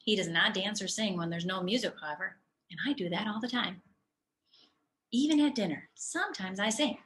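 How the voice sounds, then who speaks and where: Storybook role: music-loving young girl, read by an adult woman, eager, curious, earnest, bright everyday voice. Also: medium pitch, brisk, close to an everyday voice. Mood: earnest, confiding.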